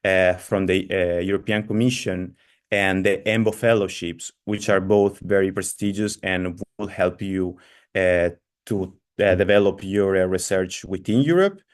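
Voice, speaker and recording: A man with a fairly low voice hosts an online seminar over a video call. He hesitates often, apologizes, and fills his pauses with drawn-out sounds. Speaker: seminar host.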